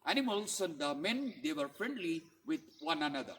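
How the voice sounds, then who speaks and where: A man telling a story to children in a teacherly way, pausing to ask questions and explain words. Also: fairly high pitch, big pitch swings, a bit bright, echoey room, slight background noise. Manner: didactic, asks listeners questions and explains words.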